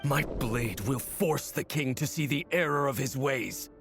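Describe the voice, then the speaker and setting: An actor playing a grave, resolute captain of the royal guard in a video game, speaking formally and earnestly. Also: male voice, medium pitch, measured pace, music in the background, lightly acted. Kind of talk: dramatic video game voice acting.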